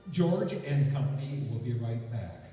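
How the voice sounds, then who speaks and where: A man's voice: mid-range voice, animated, measured pace, mellow, faint music behind. A man reading scripted old-time sponsor announcements as the announcer of a radio play. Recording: echoey hall from far off, faint noise.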